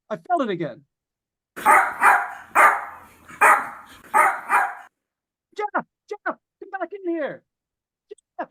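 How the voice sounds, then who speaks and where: An actor playing a skeptical, plain-spoken broadcaster in a haunted house, informal and halting, in old-time radio suspense style. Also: male voice, very high-pitched. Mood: startled, alarmed.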